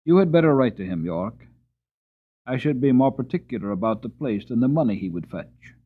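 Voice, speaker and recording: A man's voice: medium pitch, animated, a little fast, slightly rough, narrow, tinny audio. A man voicing an annoyed, proud earl in a storybook, with an emphatic, lordly delivery. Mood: commanding. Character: annoyed, commanding English earl, proud horse owner.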